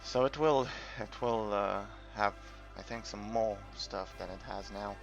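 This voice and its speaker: A man's voice: on the low side, a little slow, vocal fry. A man from the UK giving a casual, unscripted game walkthrough, rambling and hesitant as he thinks aloud. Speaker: gamer making a game tutorial. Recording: muffled, dull recording with background music.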